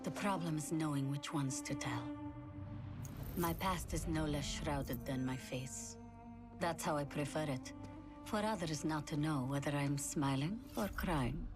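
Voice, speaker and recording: A woman playing an enigmatic, guarded, veiled fighter in a video game, narrating in a mysterious, deliberate way. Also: deep voice, over music. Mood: guarded mystery. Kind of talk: scripted dramatic narration.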